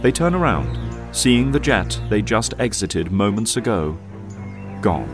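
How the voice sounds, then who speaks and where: Male narrator, low voice, scripted action-drama storytelling with music underneath. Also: sing-song, a little slow. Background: music and effects.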